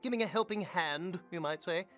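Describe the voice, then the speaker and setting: A man's voice: high-pitched, normal speed, a bit bright, soft background music. An actor playing a fussy, politely obsessive and creepy male shop owner in a video game, with genteel, fluttery, hesitant speech. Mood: eager, creepy. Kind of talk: video game character acting.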